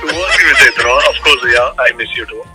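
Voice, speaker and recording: A man's voice: mid-range voice, a little fast, loud music behind the voice. A man talking casually over the telephone, informal, repeating short words and mixing in English. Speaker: guest's husband, phone caller. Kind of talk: casual phone chat. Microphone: phone call.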